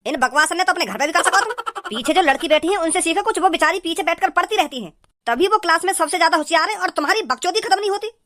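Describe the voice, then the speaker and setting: Cartoon male teacher, acted, high voice, stern and sarcastic, scolding in rural North Indian dialect. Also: expressive, fast talker, bright, forceful tone, heavily acted. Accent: rural North Indian Hindi dialect. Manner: scolding, lecturing. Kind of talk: comic cartoon dialogue.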